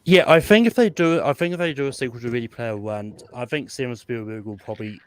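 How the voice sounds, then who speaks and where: A man chatting casually as a panelist, halting and often restarting his phrases. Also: medium pitch, dry recording. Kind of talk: casual movie chat.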